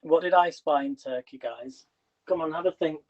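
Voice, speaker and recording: A man's voice: fairly high pitch, moderate pace. A man hosting a casual kitchen livestream, chatty and rambling, who is losing his voice. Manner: chatty, rambling, restarts questions to viewers. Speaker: livestream host. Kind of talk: casual live-stream chat. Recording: dull lo-fi livestream audio.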